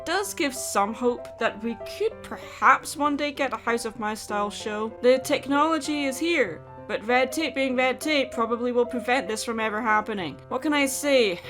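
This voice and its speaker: A woman's voice: on the high side, moderate pace, hardly any pauses. Wry woman commentating over music. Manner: wry, self-deprecating. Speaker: video essayist. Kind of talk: commentary, scripted narration with wry asides.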